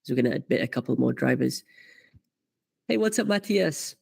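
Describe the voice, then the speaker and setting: A man's voice: mid-range voice, expressive, fairly quick, creaky, darkish tone, dry recording, clean studio sound. A man hosting a live karting coaching stream, chatty and full of fillers as he reads out comments. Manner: chatty, many fillers and restarts.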